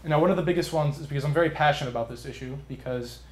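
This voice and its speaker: A man's voice: a little high, racing, darkish tone. Earnest young man in an echoey room. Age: young adult. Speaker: student contestant pitching a business idea. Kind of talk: explanatory pitch and answers to judges. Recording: roomy, echoing live sound.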